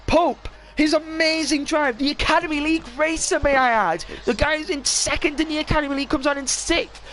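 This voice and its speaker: Excitable racing caller, high voice, straining, asks co-host questions. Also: brisk. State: straining, losing voice. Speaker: sim racing commentator. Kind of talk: live esports race commentary.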